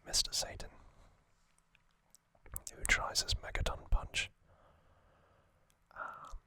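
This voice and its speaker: Slow whispering male ASMR host. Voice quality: breathy unvoiced whisper. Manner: slow, gentle, pausing delivery.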